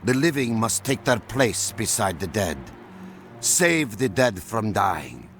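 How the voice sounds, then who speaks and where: A blind old seer in an ancient-world video game. He is deep and grave, delivering cryptic portents slowly with wide, dramatic swings of pitch. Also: big pitch swings, fairly bright tone, faint music behind, full character voice. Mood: grave foreboding. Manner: solemn, portentous, oracular delivery. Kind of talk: video game cutscene acting.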